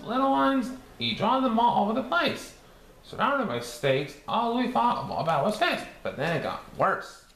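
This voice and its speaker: A man voicing a cheerful cartoon sea sponge who reads a storybook aloud in a halting sing-song. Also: high voice, fairly bright tone, full character voice. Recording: echoey room with faint background noise.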